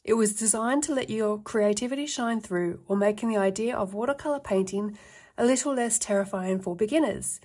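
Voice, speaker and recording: Woman, book flip-through review, reads passages aloud, relaxed, fillers, mid pitch. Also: a little fast. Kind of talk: casual flip-through narration.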